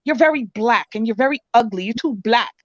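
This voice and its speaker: A woman's voice: a little high, expressive, a little fast, no room sound, lo-fi sound. A woman with a Jamaican accent, a guest talking emphatically in casual radio chat and often asking if she is understood. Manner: emphatic, repeats "you understand what I'm saying".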